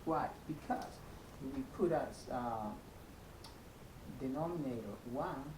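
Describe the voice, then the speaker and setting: The recording is echoey, with a clear rumble of noise behind the voice. A man with a Salvadoran Spanish accent gives an informal math lesson at a medium pitch. He goes step by step, repeating points and checking that the listener follows. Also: slow pace. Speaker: math teacher.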